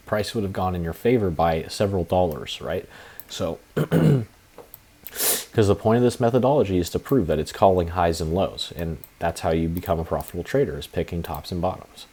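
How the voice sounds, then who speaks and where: A young man who is sick and a bit hoarse, rambling through a screen-share lesson with many fillers and restarts. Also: deep voice, warm tone. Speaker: trading tutorial presenter. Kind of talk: casual screen-share tutorial.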